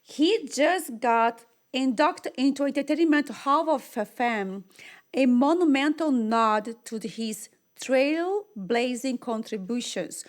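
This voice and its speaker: A woman host from Brazil, whose first language is Portuguese, speaks in a somewhat high voice. She talks in gushing, exclamatory bursts, with non-native English phrasing. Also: animated, takes it slowly. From Brazil, Rio de Janeiro. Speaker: talk show host. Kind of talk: enthusiastic interview chat.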